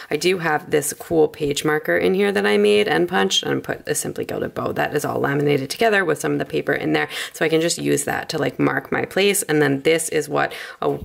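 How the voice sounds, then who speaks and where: A woman chatting informally through a casual craft flip-through in a rambling way, slightly hoarse from a cold. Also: low-pitched. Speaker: craft video creator.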